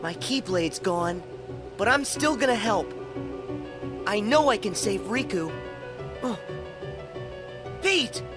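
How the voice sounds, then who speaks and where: A loyal, determined teenage boy in an animated video game. His voice is youthful and high, with slow, earnest, emphatic delivery over music.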